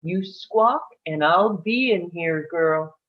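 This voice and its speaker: Woman acting a blunt, slow-paced ward woman. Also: lowish voice, phone-quality sound. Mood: matter-of-fact. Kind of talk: live table read of a film script.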